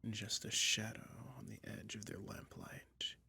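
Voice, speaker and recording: A man voicing a sly, soothing dragon who toys with a listener in a soft-spoken ASMR roleplay, breathy and whispery with a slow, coaxing delivery. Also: fairly low pitch, completely flat delivery, exaggerated voice. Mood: sly, boastful. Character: sly, soothing male dragon lurking in a cave, toying hypnotist.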